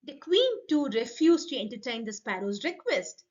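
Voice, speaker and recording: High-voiced, animated woman storyteller. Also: normal speed, muffled recording. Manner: expressive, addresses listeners directly. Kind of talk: scripted children's folk tale narration.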